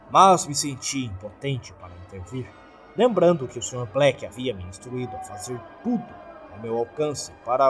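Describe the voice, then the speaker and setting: A man with a Brazilian accent narrates a scripted horror story in a husky, theatrical voice. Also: medium pitch. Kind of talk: scripted dramatic narration of a creepypasta. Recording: background music under the voice. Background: music.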